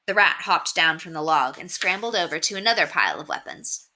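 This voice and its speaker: A woman narrating a novel aloud in an expressive storybook reading style. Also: on the low side, a little fast.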